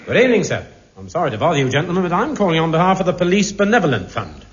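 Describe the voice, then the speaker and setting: An actor playing a polite, formal, slyly knowing police constable in a 1950s radio sitcom. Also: male voice, a little high, sing-song, rapid-fire. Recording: muffled hissy 1950s radio broadcast.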